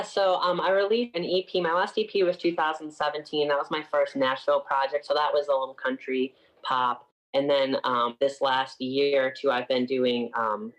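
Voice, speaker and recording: Young man, from upstate New York, singer being interviewed, casual, chatty, lots of "like" fillers, medium pitch. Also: fairly bright tone, highs cut off. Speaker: singer guest.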